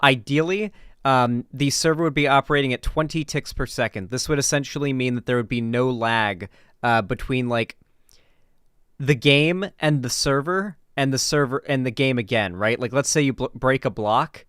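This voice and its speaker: A man's voice: mid-range voice, normal speed, a bit bright, clear, resonant tone, dry recording, studio quality. A man doing casual live stream commentary as he reacts to videos and chats with viewers, informal and sweary with gamer slang.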